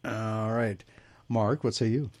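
A man hosting a relaxed movie review chat, going round the panel for ratings and adding quick asides. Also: fairly low pitch. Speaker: podcast host.

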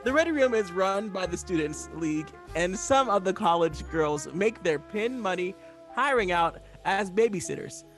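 A man puts on a grand, playful announcer from 1940s radio drama. His voice is high and swings widely through theatrical, drawn-out phrases, with music behind it. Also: animated.

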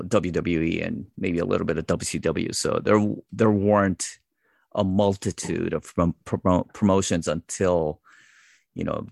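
Interview guest, male, 40s: very low and gravelly, easygoing, self-deprecating, dry close mic. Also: no echo. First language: English. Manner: relaxed, self-deprecating, many fillers. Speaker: interview guest, wrestling translator. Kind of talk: casual interview chat.

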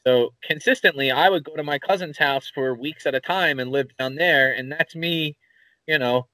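A man's voice: fairly high pitch, moderate pace, no echo, low-quality recording. Rambling Philadelphia man, dry close sound. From Philadelphia area, USA. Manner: rambling, animated storyteller, swears casually. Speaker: podcast co-host. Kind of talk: casual chat.

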